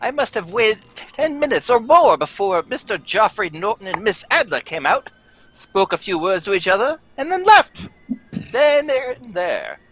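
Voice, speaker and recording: An earnest Victorian gentleman doctor, acted out in a live reading, recounts his adventure like an old-time radio storyteller. The pitch leaps about with excitement. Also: normal speed, low-quality recording. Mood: earnest, excited.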